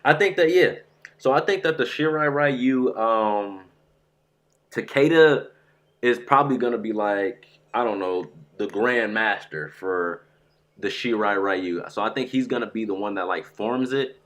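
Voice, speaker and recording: A man streaming live about a fighting game, rambling and excitable, sounding stuffy from a sore throat. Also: fairly low pitch, a little slow. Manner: excitable, rambling, says "bro" a lot. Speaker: gaming streamer.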